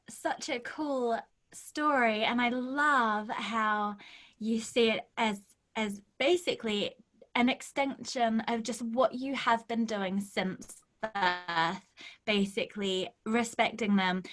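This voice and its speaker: A woman's voice: mid-range voice, a bit bright. Affirming woman podcast host. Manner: affirming, frequent listening murmurs. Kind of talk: casual interview.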